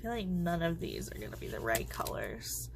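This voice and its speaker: A woman's voice: on the low side, sing-song, moderate pace, gravelly, soft background music. A congested woman chatting casually and rambling as she crafts at home, her thoughts often trailing off. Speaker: planner vlogger. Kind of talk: casual chat while crafting.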